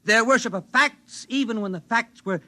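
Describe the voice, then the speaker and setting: Zealous, self-important male idealist, 1950s drama. High-pitched, piercingly bright, speechifying. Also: normal speed, exaggerated voice. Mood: fervent, insistent. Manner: fervent, declamatory speechmaking. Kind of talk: 1950s radio drama acting.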